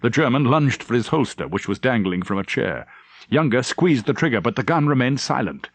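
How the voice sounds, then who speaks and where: A man's voice: mid-range voice, sing-song, brisk, no echo. A man narrating a novel as a scripted, dramatic audiobook reading.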